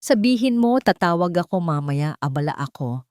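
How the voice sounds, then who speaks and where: A woman's voice: on the low side, big pitch swings, moderate pace, darkish tone, no echo, clean studio sound. A woman voicing an evasive, panicky businessman who tries to stay in control, curt and defensive, in an audiobook drama. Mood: dismissive.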